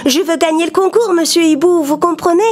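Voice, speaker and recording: A woman voicing a young male goat, childlike, earnest and eager, in a children's animated tale. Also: extremely high pitch, brisk, soft background music.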